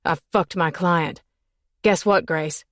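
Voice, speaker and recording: A harsh, bitter man, as a female narrator puts him on, low and gritted. His lines come clipped and cold, close to the mic in a dry space. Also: slow, no room sound.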